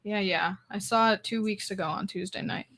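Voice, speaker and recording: A woman's voice: medium pitch, brisk, a bit bright. Female performer as a wry grumbling maestro. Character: wry, grumbling, wisecracking male music maestro neighbour. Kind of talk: old-time radio comedy acting.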